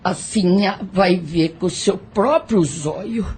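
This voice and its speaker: A woman's voice: on the low side, takes it slowly, darkish tone, slight background noise. An actress playing an old, superstitious plantation servant woman in an audio drama, with rustic speech and an ominous manner. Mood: ominous warning.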